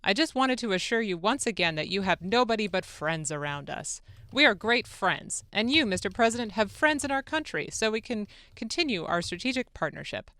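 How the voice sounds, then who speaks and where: Producer acting out an eager deferential president, clear forceful voice, emphatic, flattering, dry studio dramatic reading. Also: medium pitch, a little fast, hardly any pauses, no echo. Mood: earnest assurance. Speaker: radio producer reading a part. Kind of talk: dramatic reading of a phone-call transcript.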